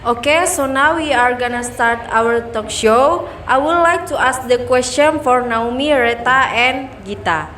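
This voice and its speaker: High-voiced young woman hosting. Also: unhurried, hardly any pauses, fairly bright tone, a little hiss or hum. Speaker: talk show host. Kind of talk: student talk show hosting.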